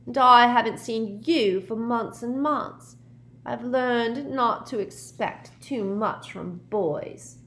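A woman voicing the glum, easily wounded ghost of a teenage girl in an audiobook, sulky and plaintive with drawn-out words. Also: fairly high pitch, a bit bright, pitched above the performer's own voice. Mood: glum, reproachful.

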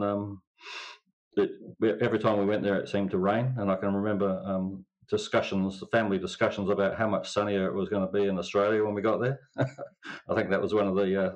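A man's voice: fairly low pitch, lo-fi sound. A middle-aged man with a New Zealand accent, a winemaker guest chatting in an interview with a dry, laconic manner. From England. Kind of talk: casual interview chat.

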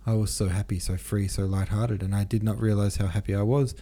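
Man reading as anguished young count, dark mellow voice. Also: fairly low pitch, flat delivery, fairly quick. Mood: anguished, bewildered. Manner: anguished, questioning inner monologue. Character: distraught young Russian count losing at cards. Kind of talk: audiobook character reading.

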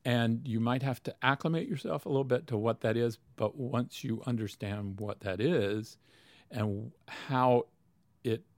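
A man's voice: mid-range voice, measured pace, no room sound. A man answering interview questions about opera in a thoughtful way, with many fillers and restarts. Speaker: opera expert guest.

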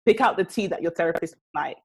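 A woman's voice: lowish voice, fast talker, breathy edge. A young woman in casual chat, talking at length in long runs with lots of fillers. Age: young adult. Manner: animated, talks in long runs with many fillers.

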